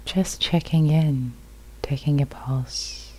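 A woman meditation teacher with a soft, husky, breathy voice, guiding a meditation in sparse, unhurried, soothing phrases. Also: low-pitched, steady tone, low-quality recording. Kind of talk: guided meditation.

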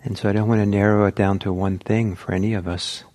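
A man teaching meditation in a gentle, reflective, halting way, leaving long pauses between short phrases. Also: fairly low pitch, little pitch movement. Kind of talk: guided meditation talk.

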